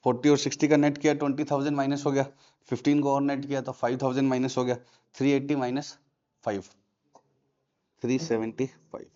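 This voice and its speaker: A man's voice: medium pitch, flat delivery, quick pace, mellow. A male commerce teacher, lecturing in a classroom and quizzing his students with repeated questions. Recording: dull lo-fi classroom recording.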